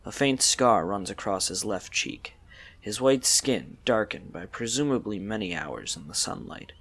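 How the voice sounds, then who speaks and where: A man's voice: on the low side, expressive, unhurried, fairly bright tone. A man narrating a scripted audio story.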